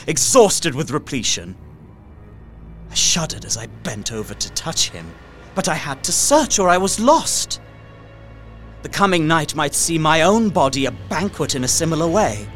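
A man playing a terrified young captive in a gothic radio play, speaking his journal with intense, deliberate drama over music. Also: on the high side. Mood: horrified disgust. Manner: theatrical, intense, deliberate archaic diction. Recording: music under the voice.

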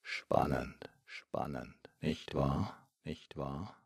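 A man guiding a self-confidence hypnosis session, speaking slowly and softly with long pauses and repeated words. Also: very deep voice, vocal fry, slightly rough, no echo, clean studio sound.

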